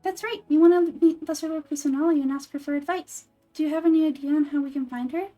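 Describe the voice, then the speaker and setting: Woman voicing a high, squeaky little fairy companion. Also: quick pace, soft background music, close to an everyday voice. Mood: curious, chatty. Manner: excitable, perky.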